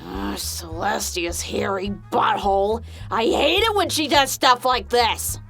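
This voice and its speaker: A woman playing a grumpy, foul-mouthed female pony quarry worker in a fan audio drama, gruff, irritated and blunt. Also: medium pitch, measured pace, a bit bright, faint music behind. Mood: exasperated, irritated.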